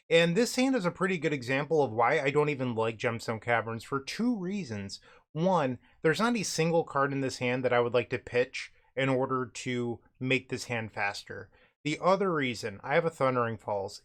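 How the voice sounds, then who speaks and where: A man's voice: mid-range voice, a bit bright. Man with a cracking, under-the-weather voice. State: recovering from illness, voice cracks and cuts in and out. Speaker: host, card game streamer. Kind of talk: casual gameplay commentary.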